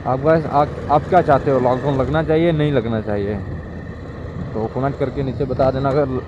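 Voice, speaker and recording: A man's voice: mid-range voice, fairly even intonation, moderate pace, highs cut off. A man chatting casually to viewers as he vlogs from a moving motorbike. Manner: chatty, informal, addressing viewers. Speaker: motovlogger. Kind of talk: casual vlog chat while riding. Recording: loud wind and traffic noise. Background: engine, wind and road traffic.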